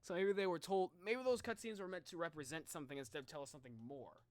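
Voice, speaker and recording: A man streaming a casual reaction, thinking out loud in slangy talk and addressing his viewers directly. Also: a little high, quick pace, no echo. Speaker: streamer, video host. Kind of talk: casual livestream reaction chat.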